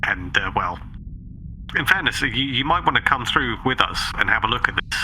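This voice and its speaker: A man's voice: mid-range voice, expressive, moderate pace, bright, forceful tone, slight background noise, poor audio. A man improvising in character in a tabletop game, speaking politely, tentatively and a little wordily.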